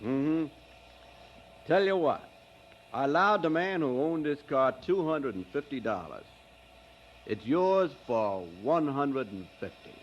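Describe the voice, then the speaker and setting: An eager small-town car salesman with a folksy, persuasive pitch. The voice is fairly high and very animated. It is a muffled audio drama recording with noise. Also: takes it slowly, long pauses. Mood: dealing.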